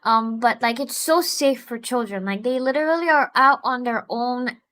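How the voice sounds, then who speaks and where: A woman's voice: fairly high pitch, moderate pace. Chatty Bulgarian-born woman host. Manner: animated storytelling with frequent fillers. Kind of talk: casual conversation. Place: home in a small town.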